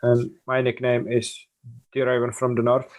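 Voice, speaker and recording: A man's voice: medium pitch, monotone. A man from the Czech Republic with a Czech accent, speaking hesitantly in a casual interview. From northern Czech Republic. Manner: hesitant, halting phrasing. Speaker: podcast guest, artist.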